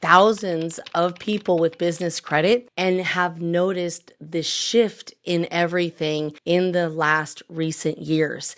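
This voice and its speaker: A woman in her thirties, a credit coach, explaining points one by one in an upbeat pitch while a bit hoarse from recovering her voice. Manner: upbeat sales-pitch delivery, lists points by number. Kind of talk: direct-to-camera explainer.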